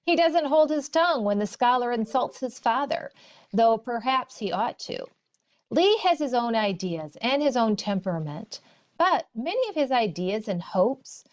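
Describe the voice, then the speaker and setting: A woman reading a textbook aloud as a teacher, in a deliberate, instructional way. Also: on the high side, a little mellow, dull audio. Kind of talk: read-aloud of story and textbook.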